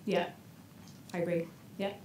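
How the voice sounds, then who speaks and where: A woman from Canada with a Canadian accent, giving a conference talk from a stage, quick and chatty with plenty of ums. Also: on the low side, level tone, darkish tone, a little hiss or hum. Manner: fast-talking, many ums, self-deprecating jokes. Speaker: presenter. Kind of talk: conference presentation. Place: large hall with stage and audience.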